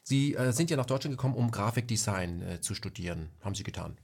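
Male host, brisk delivery, steady questions, frequent mhms. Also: fairly low pitch, husky. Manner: fast, with frequent "mhm" backchannels. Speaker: interview host.

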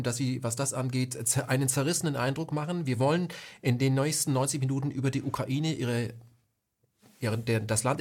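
A man hosting an interview show, asking questions and responding often with short affirmations. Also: medium pitch. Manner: fast, with frequent "mhm" backchannels. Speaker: interview host.

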